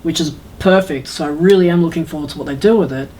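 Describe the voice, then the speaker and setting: A man's voice: a little high, quick pace, a little mellow. A man chatting casually and emphatically on a podcast, like a keen fan. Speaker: podcast panelist. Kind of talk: casual, enthusiastic podcast chat.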